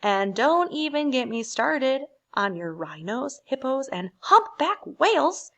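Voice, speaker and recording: A woman voicing a tired, exasperated gray crayon in a storybook, grumbling with weary, drawn-out words. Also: high voice, sing-song, a bit bright, muffled recording, full character voice. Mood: weary exasperation.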